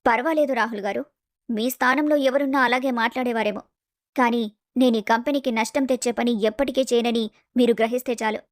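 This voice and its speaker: Composed young professional woman, played by an actress: high pitch, courteous but firm, for a dramatized family story. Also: steady tone, no echo, studio quality, close to an everyday voice. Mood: calm, firm. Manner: polite, measured, firm. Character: Geeta, composed, principled young office woman.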